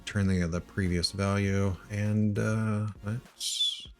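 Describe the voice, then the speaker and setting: A middle-aged man from Missouri thinking aloud while he writes code, halting with many ums and uhs. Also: lowish voice, little pitch movement, soft background music. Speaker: live-coding streamer.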